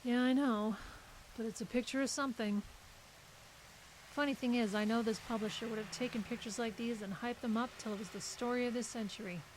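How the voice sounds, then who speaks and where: A woman's voice: fairly high pitch, steady tone, a little fast, natural voice, low-quality recording. A woman playing a guarded, brusque literary agent in an audio drama, with a dry, curt manner. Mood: dry, reflective. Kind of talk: naturalistic audio drama acting. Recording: clearly hissy recording.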